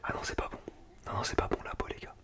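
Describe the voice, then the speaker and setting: An ASMR creator, speaking in a breathy, unvoiced whisper in an informal, slangy, chatty way while reviewing food. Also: fast. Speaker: ASMR video creator and host.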